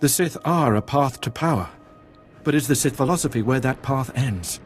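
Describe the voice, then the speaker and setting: An actor playing a guarded, reverent cult zealot in a video game, earnest and declamatory. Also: male voice, medium pitch, moderate pace, soft background music, natural voice. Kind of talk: video game cutscene acting.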